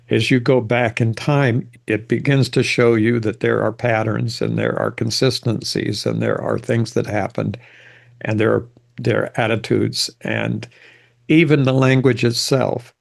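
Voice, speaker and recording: A man's voice: mid-range voice, vocal fry. An older man giving an informal lecture as a genealogy presenter, explaining in a reflective, rambling way.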